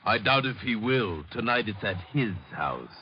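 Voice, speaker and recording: An actor playing an unruffled, formal butler in an old-time radio mystery, deadpan and obsequious. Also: male voice, lowish voice, moderate pace, natural voice. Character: unruffled, eerily polite male butler. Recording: muffled old radio recording, faint noise.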